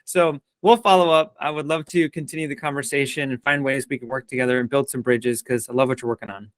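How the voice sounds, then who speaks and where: A man's voice: mid-range voice, steady tone, fast, dry recording. A man hosting a casual interview in an informal, enthusiastic way. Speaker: interview host.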